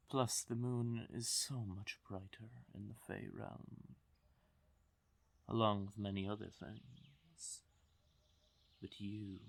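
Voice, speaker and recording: A man acts out a fae king of fantasy audio roleplay, smug and possessive. His voice is low and gravelly, and he takes his time with every phrase. He speaks intimately to the listener, teasing and commanding with a silky menace. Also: heavily acted. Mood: coaxing, boastful. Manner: slow, teasing, commanding, with drawn-out pauses.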